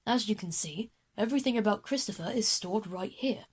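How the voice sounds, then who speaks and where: A woman playing a proud, formal grown man in a children's audio drama, speaking in a courteous, measured way. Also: mid-range voice, darkish tone, husky, close to an everyday voice. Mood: proud, composed.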